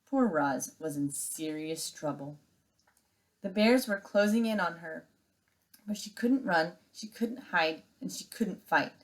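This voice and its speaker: A woman's voice: fairly low pitch, expressive, takes it slowly, low-quality recording. A woman teacher reading a children's story aloud expressively and giving instructions to students.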